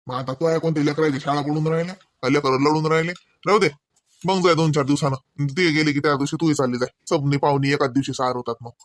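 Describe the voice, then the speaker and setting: A man's voice: on the high side, steady tone, quick pace, dry recording, clean studio sound, natural voice. A man playing a hospitable, persuasive village householder in a rural comedy cartoon, casual and coaxing, with a Varhadi Marathi accent. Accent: Varhadi Marathi, Vidarbha.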